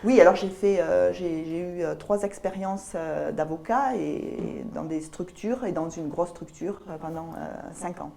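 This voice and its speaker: A middle-aged woman with a southern French accent and a soft, mellow, slightly low voice. She gives considered interview answers, pausing mid-phrase as she looks for the right word. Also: vocal fry, slight background noise. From south of France (Albi). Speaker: guest, business lawyer. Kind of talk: interview answers about career.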